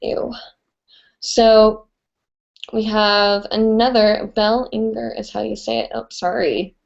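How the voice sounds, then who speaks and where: A woman's voice: medium pitch, takes it slowly, vocal fry, dull audio. Chatty young woman with stuffy nasal voice. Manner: chatty, rambling, with many fillers. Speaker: hooping instructor answering viewer questions. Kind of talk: casual live-stream Q&A.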